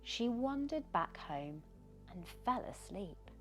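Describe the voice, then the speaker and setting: A British woman reading a children's bedtime story aloud in an expressive, dramatic way, often dropping into hushed tones. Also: medium pitch, takes it slowly. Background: background music.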